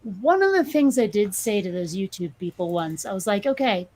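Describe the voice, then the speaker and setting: A woman's voice: medium pitch, sing-song, moderate pace, a little mellow. A woman with a New England accent, co-hosting a casual chat, blunt and sarcastic, swearing freely. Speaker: podcast co-host.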